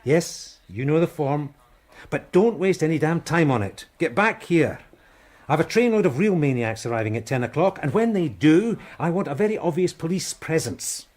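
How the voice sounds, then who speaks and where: Brusque, animated police sergeant. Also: male voice, fairly high pitch, moderate pace. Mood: harried, irritated. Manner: barking, impatient, blunt questioning. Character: brusque, irritable, overworked male police sergeant. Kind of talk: naturalistic radio drama acting.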